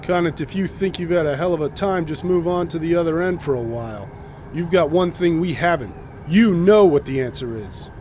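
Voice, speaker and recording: A man's voice: a little high, moderate pace, darkish tone, slight background noise, close to an everyday voice. An actor playing a blunt, sardonic expedition man in an audio drama, speaking drily. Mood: blunt, firm.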